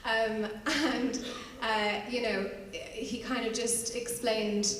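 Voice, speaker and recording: A young woman on a discussion panel telling her own story in a casual way, full of "like" and "you know.". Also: medium pitch. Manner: chatty, lots of "like" and "you know". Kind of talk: casual personal account. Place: large hall at a live event. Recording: echoey hall, distant mic, faint hum.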